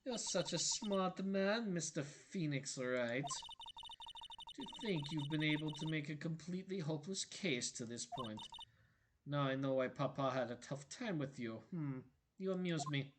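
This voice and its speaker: A man's voice: fairly high pitch, expressive, a little slow, noisy background. A haughty young lady prosecutor, as a man puts her on. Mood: smug, cold. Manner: cold, scornful and condescending. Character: haughty young female prosecutor, icy and proud. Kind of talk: reading game dialogue aloud. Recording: game music playing behind.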